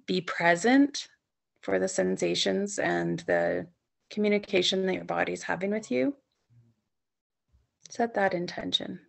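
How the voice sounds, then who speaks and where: Gravelly low-voiced woman guiding sleep meditation. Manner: soothing, unhurried phrasing with long pauses, gently coaxing. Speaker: yoga nidra instructor leading a guided meditation. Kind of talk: guided sleep meditation, softly spoken instruction.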